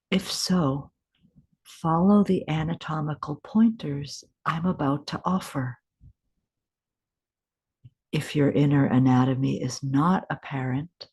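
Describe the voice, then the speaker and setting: A woman guiding a meditation in a gentle, unhurried way, with deliberate pauses and a soft, breathy edge. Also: low-pitched. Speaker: meditation guide. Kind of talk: guided meditation, scripted instruction.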